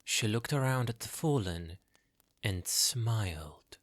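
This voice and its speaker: A man's voice: lowish voice, expressive, slow pace, no room sound. Dry, dramatic male fantasy narrator.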